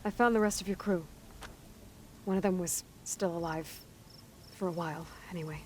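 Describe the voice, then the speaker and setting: A woman's voice: on the low side, fairly even intonation, moderate pace, a little hiss or hum, natural voice. Woman as dry, skeptical game heroine. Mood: serious, measured. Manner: dry, matter-of-fact. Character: level-headed, skeptical young heroine, capable wanderer. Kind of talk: video game cutscene acting.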